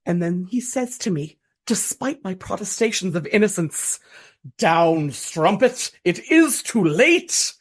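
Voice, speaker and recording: An actress in a radio play voicing a gushing, melodramatic amateur stage actress of the Regency era, speaking with theatrical earnestness. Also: female voice, medium pitch, lively intonation, slow pace. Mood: tragic, theatrical. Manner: theatrical, breathy earnestness, dramatic flourishes.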